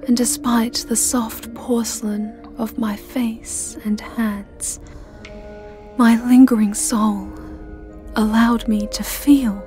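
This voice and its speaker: A woman playing a sinister, jealous living porcelain doll maid in a dramatic ASMR roleplay, speaking in a breathy whisper with a menacing, savoring delivery. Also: a little high. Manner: slow, menacing, theatrical, savoring phrases. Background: music.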